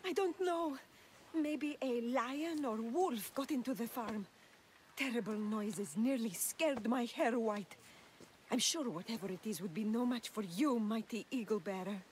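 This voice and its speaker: An actress as a timid village woman in a game cutscene, with a higher voice that is anxious and deferential. She speaks as a devout, effusive admirer, over clear ambient noise. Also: unhurried, a little mellow, close to an everyday voice. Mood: worried, hesitant. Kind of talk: video game cutscene acting.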